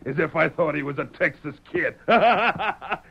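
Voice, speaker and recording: An actor playing a sly, excitable gunman henchman with an American Western drawl and a folksy, blustering way of talking, in a 1930s radio western. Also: on the high side, a little slow, creaky, slight background noise, full character voice. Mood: gloating. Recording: muffled old 1930s radio broadcast.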